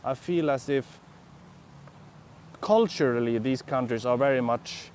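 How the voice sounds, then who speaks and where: A man's voice: medium pitch, unhurried, darkish tone, slight background noise. A young Swedish man with a Swedish accent, chatting casually on a live stream in a city park, musing and answering viewers' comments. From Sweden. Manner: rambling, reflective, answers chat comments. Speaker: vlogger.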